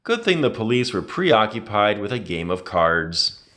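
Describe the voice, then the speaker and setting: A man reading a children's adventure story aloud in an expressive, dramatic storytelling manner. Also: lowish voice, measured pace. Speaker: read-aloud narrator.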